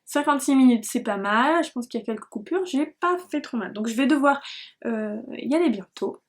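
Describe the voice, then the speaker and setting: A woman's voice: sits high, lively intonation, brisk. A woman hosting a knitting podcast, chatting casually to viewers in a scattered, self-deprecating way and often losing her thread. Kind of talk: casual rambling vlog talk to viewers.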